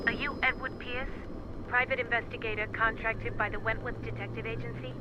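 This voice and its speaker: An actress playing a formal, stern detective agency supervisor in a video game, businesslike and admonishing over the telephone. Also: female voice, on the high side, flat delivery, a little fast. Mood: formal, probing. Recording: dull telephone call with clear noise.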